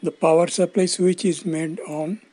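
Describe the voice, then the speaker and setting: A man in his sixties giving scripted instructional narration as an electronics instructor, in a measured, formal, explanatory manner. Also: a little high, level tone, warm tone. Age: 60s.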